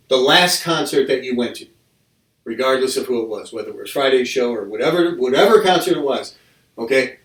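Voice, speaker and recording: A man's voice: medium pitch, fairly bright tone. A man hosting a podcast, chatting casually with his guests, often restarting his sentences and joking as he goes. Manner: rambling, restarts sentences, jokey. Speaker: podcast host. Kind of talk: casual interview chat. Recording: roomy mic from a distance.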